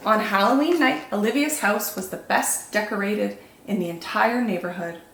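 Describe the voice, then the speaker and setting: A woman with a medium-pitched voice narrates a picture book for small children. Her reading is expressive and carefully phrased. The room is noticeably echoey, with a little noise behind her. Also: normal speed, vocal fry. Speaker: children's storytime host and reader.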